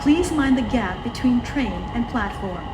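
A woman works as a station announcer, reading scripted lines with formal, careful diction. Her voice sits fairly high and she takes her time over each phrase. It is recorded aboard, with noticeable train noise around the announcement. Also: expressive. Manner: formal, measured, clearly enunciated. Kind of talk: scripted public transit announcement. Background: train running noise.

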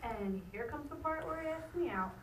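A woman's voice: high-pitched, expressive, normal speed. A young woman performing in a student comedy sketch, making sarcastic asides on a stage. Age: young adult. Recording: roomy, distant, audible background noise.

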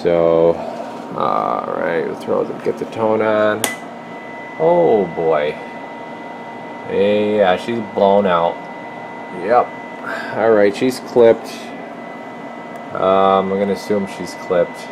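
A man's voice: deep voice, drawn-out words, gravelly, long pauses. A man giving casual hands-on repair commentary as a technician and video host, thinking aloud while he works. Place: indoor electronics workshop bench. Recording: noisy workshop with steady background hum.

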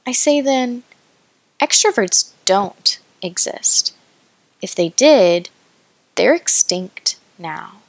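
A woman poet reciting her own work deliberately, pausing between short phrases. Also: mid-range voice, expressive, vocal fry, no echo. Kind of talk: poetry recitation.

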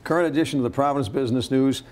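Older male anchor, mid-pitched, conversational interviewer for a studio news segment. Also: very fast. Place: TV news studio, indoors at a desk.

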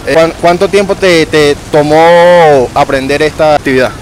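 A man's voice: on the high side, fairly even intonation, moderate pace, slight background noise, poor audio. A young man, the vlogger, asking friendly, informal questions of street performers in a city park. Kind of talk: casual street interview. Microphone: handheld clip mic in a selfie shot.

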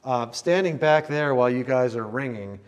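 A man's voice: mid-range voice, normal speed. A middle-aged American man chatting casually as an interviewer, thinking aloud and rephrasing as he goes. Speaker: interviewer touring as visitor. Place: large indoor tower room. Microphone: clip-on mic on the chest.

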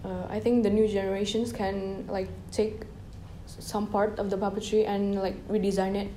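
A young woman from Indonesia answers questions hesitantly, often pausing on fillers. Her voice is mellow and fairly flat in pitch. Faint room ambience sits behind her. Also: echoey room, a little hiss or hum, rough recording. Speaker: interviewee, design student. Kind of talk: casual interview answers. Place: indoors by a projection screen.

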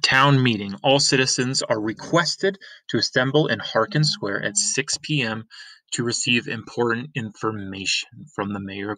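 A man's voice: on the low side, pushed and bright, dry recording, poor audio. A middle-aged man reading a graphic novel aloud as a teacher, explaining emphatically and repeating key points. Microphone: webcam screen recording.

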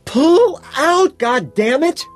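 A man's voice: mid-range voice, sing-song, measured pace, husky, faint music behind, voice pushed up. A man voicing an irritable, exasperated young woman with gasping, shrieking indignation in an audiobook. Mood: screaming.

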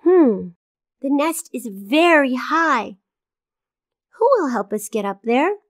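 A woman's voice: sits high, animated, slow pace, no echo, studio quality. A woman voicing a kind, curious little girl in a children's cartoon, asking the young audience simple questions. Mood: gentle, helpful. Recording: children's music under the voice.

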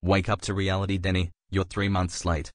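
A man voicing a vengeful male rival driver who speaks flat and threatening. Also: low-pitched, moderate pace, no echo, studio quality, pitched below the performer's own voice, lightly acted. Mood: menacing.

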